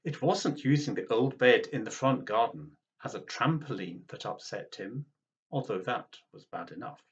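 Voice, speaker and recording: A man reading a children's storybook aloud in an expressive, dramatic way. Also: on the low side, moderate pace, dull audio. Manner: animated, dramatic.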